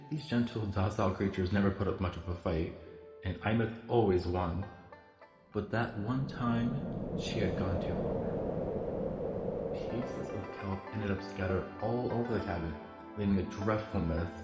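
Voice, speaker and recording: An actor playing a gentle storybook narrator in a video game, telling a tale in a measured way. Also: male voice, fairly low pitch, natural voice. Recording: echoey, music behind the voice.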